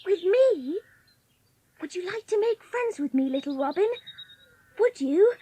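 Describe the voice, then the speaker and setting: A woman's voice: very high voice, animated, measured pace, warm tone. A woman playing a lonely, sour, inquisitive little girl in a dramatised audiobook, prim and direct in her questions. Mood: curious.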